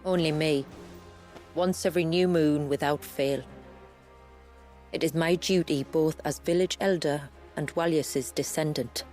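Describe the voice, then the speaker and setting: A grave, dutiful village elder. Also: female voice, low-pitched, fairly even intonation, darkish tone, faint music behind. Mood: solemn. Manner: formal, measured, archaic phrasing. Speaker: village elder guiding travelers. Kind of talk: video game cutscene acting.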